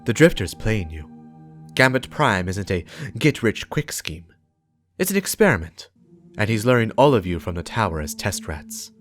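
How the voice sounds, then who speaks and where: A low-pitched man playing a warlock investigator in a dramatic game-lore reading. The delivery is solemn, measured and cautionary, with a suspicious edge. Music plays clearly behind the voice. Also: big pitch swings. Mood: grave, urgent. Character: grave, suspicious warlock investigator briefing a guardian. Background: game soundtrack music.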